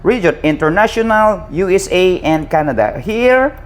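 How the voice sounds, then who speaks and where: A man casually teaching a hands-on equipment tutorial as an instructor, halting and repetitive, often addressing his listeners directly. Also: sits high, lively intonation. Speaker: maritime instructor and channel host.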